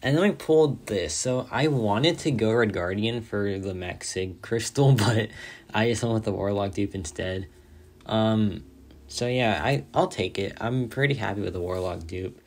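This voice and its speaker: A young man with a slightly low voice gone raspy and hoarse from hours of talking. He chats casually and excitedly about his game rewards, rambling with lots of filler words. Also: moderate pace. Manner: excited, rambling, says "like" often. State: hoarse, tired from a long day of talking. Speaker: mobile game content creator. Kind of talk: casual gameplay commentary.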